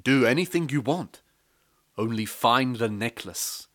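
A storyteller voicing an impatient king, loud and commanding, close to his own voice. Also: mid-range voice, animated, a little slow. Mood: eager.

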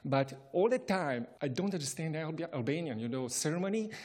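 A man's voice: on the high side, lively intonation, darkish tone. Man telling a chuckling travel story. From Bosnia and Herzegovina. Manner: chuckling, halting, with frequent restarts. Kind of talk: personal travel anecdote.

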